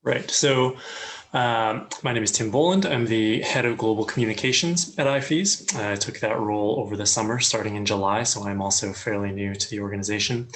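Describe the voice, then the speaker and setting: A man from the USA with an American accent, a guest explaining his work in a measured way over a video call. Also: fairly low pitch, a bit bright. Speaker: guest, communications head. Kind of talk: interview answers.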